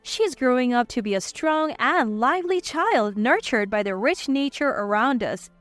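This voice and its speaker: Female story narrator, very high voice, animated delivery, music underneath. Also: moderate pace, no echo. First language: English. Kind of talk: scripted first-person story narration.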